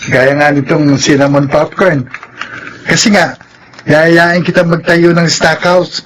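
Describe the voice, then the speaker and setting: An eager young man talks in a high voice. He is a friendly, enterprising student, with faint noise in the background. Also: fairly even intonation, slow, a bit bright, poor audio. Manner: keen, friendly. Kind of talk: radio drama acting.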